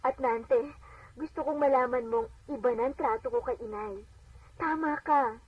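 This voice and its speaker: A woman's voice: high-pitched, moderate pace, slightly rough. A woman acting an earnest, devout wife and daughter telling her testimony in a radio drama. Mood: earnest, relieved. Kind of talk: radio drama acting and first-person narration. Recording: dull, noisy AM radio playback, distant.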